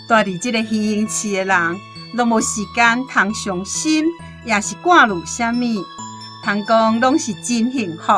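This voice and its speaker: Radio drama: vain society wife, bright highish voice, showy and pleased with herself, light music behind. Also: female voice, measured pace. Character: vain, fashion-loving, pleasure-seeking married society lady. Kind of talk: Taiwanese radio drama acting.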